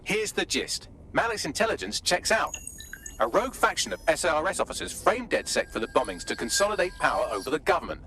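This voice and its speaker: A man with a British accent playing a brisk, dry-witted assistant who briefs operatives in a video game, articulate and matter-of-fact. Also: a little high, big pitch swings, pushed and bright, a little hiss or hum, exaggerated voice. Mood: brisk, explanatory.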